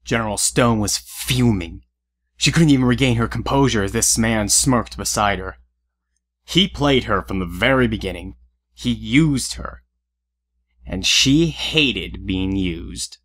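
A man's voice: fairly low pitch, unhurried, fairly bright tone. A man reading scripted narration for an audio drama as the storyteller.

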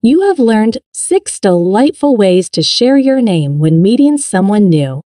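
A woman narrating a scripted English lesson in a clear, upbeat, instructional way. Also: mid-range voice, very animated, a little slow, warm tone, dry recording, studio quality.

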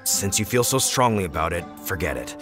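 A man's voice: low-pitched, very animated, fairly quick. A man voicing a reasonable, resigned village hunter in a video game, speaking hesitantly. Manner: hesitant, sighing. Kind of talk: acted video game dialogue. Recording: game music under the voice.

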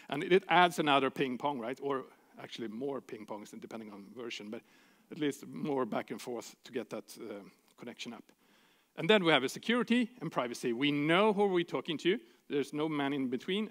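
A man's voice: medium pitch, fairly bright tone. Man, Swedish accent, middle-aged, casual filler-heavy lecturing, conference hall stage. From Sweden. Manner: casual, informal, with frequent fillers and dry asides. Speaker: conference speaker. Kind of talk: technical conference talk.

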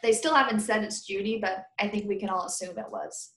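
An American woman in her thirties reading a children's book aloud as a teacher, pausing to explain and ask listeners questions in simple words. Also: medium pitch, fairly bright tone. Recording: roomy, echoing webcam recording.

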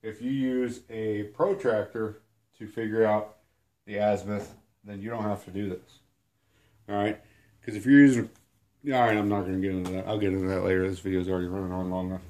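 Hoarse man with a cold teaching. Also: lowish voice, steady tone, a little fast, a little mellow. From Illinois. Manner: rambling and self-deprecating, many fillers. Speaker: outdoors channel host. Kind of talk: casual whiteboard lesson. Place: small room at home, indoors.

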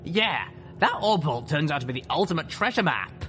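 A man's voice: fairly high pitch, very animated, moderate pace, fairly bright tone, soft background music, pitched above the performer's own voice. A man voicing a proud, swaggering griffin mercenary showing off in a storybook tale. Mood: boastful. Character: brash, boastful griffin mercenary second-in-command.

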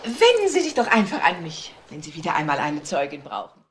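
Chatty baroness in a crime radio play, very swoopy low voice, bit of hiss. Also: female voice, wildly swooping pitch, brisk. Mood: self-assured, delighted. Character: chatty, self-assured elderly baroness, eager witness. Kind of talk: German crime radio drama acting.

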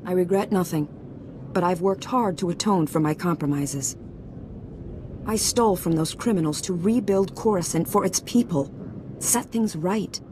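Proud firm middle-aged lady politician woman acting, lowish formal voice, game cutscene, noisy background. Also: normal speed, natural voice. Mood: earnest, defiant. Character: proud, resolute middle-aged female senator. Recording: game cutscene with clear ambient noise.

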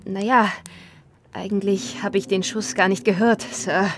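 An actress voicing a naive, servile, slightly deaf housemaid in a radio play. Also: female voice, medium pitch, steady tone, measured pace, slight background noise, close to an everyday voice. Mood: naive, eager.

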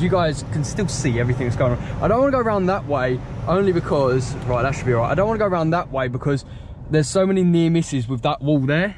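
A young British man with a slightly high voice talks casually to his viewers from inside a car. His delivery is informal and rambling, in the way of a vlogger filming himself at the wheel. There is light car noise behind him. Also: a little mellow. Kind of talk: casual chat to viewers while driving. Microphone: head-mounted action camera.

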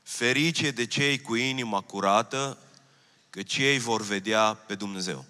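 A man's voice: fairly low pitch, bright, forceful tone, clear, resonant tone. A man in his thirties preaching a sermon from a church stage with a handheld mic, earnest and repeating his key phrases. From Romania. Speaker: pastor. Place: church stage, large hall. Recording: long hall reverb.

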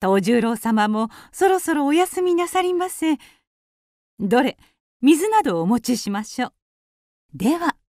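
Polite married woman of old Kyoto, period radio drama. High, unhurried, old-fashioned courtesy. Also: sing-song, dry recording, studio quality. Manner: soft-mannered, deferential, old-fashioned polite speech.

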